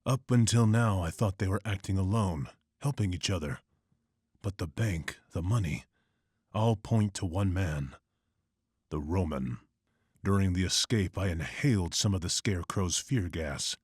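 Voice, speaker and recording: A man's voice: sits low, a little mellow, slightly rough, dry recording, clean studio sound. A man voicing a grim, brooding vigilante detective who narrates in terse, clipped lines. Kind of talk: comic narration.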